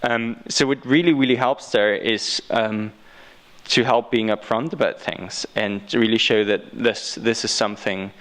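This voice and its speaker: An Austrian man gives an unscripted talk as a guest speaker. His English carries an Austrian accent from his native German. He speaks haltingly, often doubling back to repeat the start of a phrase before he finishes it. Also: lowish voice, steady tone, a bit bright. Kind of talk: unscripted talk answering audience questions.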